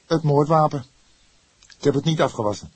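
Man voicing a high, excitable young detective. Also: fairly quick, husky, poor audio. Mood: matter-of-fact. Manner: agitated, emphatic. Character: young hot-headed male police detective, excitable and indignant. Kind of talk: audiobook character voice.

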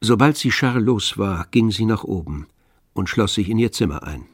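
A man narrating a literary radio play in measured, scripted storytelling. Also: fairly low pitch, lively intonation, slightly rough.

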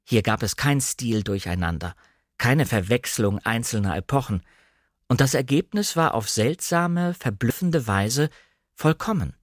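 Male narrator, standard German, medium pitch. Measured, precisely articulated literary storytelling, close and dry studio recording. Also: lively intonation, no room sound. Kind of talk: scripted literary narration, audiobook-like radio reading.

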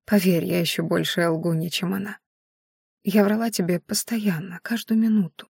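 A dying young heroine of a fantasy audiobook, acted by a woman narrator, speaking faintly and hoarsely. She is weary but quietly commanding, and the recording is dry. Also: mid-range voice, unhurried, no room sound. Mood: faint confession.

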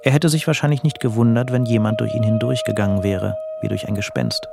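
Gravelly low male narrator over music. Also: a little fast. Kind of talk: scripted literary radio play narration.

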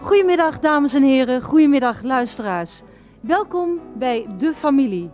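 High, mellow woman presenting a radio play. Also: expressive, normal speed, highs cut off, soft background music. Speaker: presenter and narrator. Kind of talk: scripted radio play narration.

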